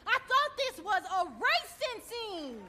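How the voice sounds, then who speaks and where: An actress playing a bold, commanding crew leader who rallies racers with a challenging, projected delivery in a video game. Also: female voice, very high-pitched, big pitch swings, slow pace, heavy background noise, full character voice. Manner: forceful, challenging, projecting to a crowd. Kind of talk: video game voice acting, rousing speech.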